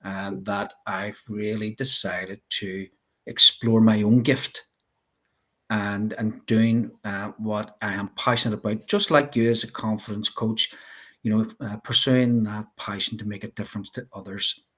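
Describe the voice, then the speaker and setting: A man's voice: lowish voice, fairly even intonation, unhurried, a bit bright. A man with a Belfast accent, a life coach guest explaining his ideas earnestly, with many fillers. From Northern Ireland. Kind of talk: interview chat.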